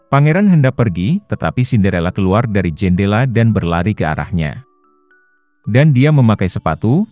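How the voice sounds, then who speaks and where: A man's voice: lowish voice, a little mellow. A man reading a scripted fairy tale as a storyteller for children, with music behind him. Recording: roomy echo under faint music. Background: faint background music.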